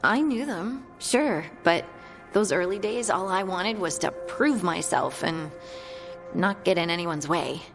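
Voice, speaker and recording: A woman playing a warm, earnest young scout in a fantasy video game, chatting in a friendly, conversational way. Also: on the low side, lively intonation, a little slow, music in the background. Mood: fond, chatty. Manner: chatty, friendly, lightly amused.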